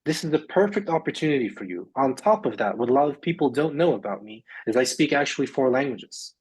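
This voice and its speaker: A man's voice: medium pitch, expressive, fast, husky, rough recording. A man raised in Luxembourg, a sports agent, chatting casually as a podcast guest, rambling with many fillers and restarts. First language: English. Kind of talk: casual interview chat.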